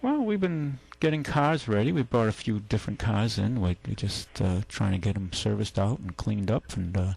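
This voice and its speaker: A middle-aged man hosting a casual call-in radio show, talking in a relaxed, rambling way with many fillers. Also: lowish voice, moderate pace, gravelly, few pauses, husky. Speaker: radio talk show host, car dealer.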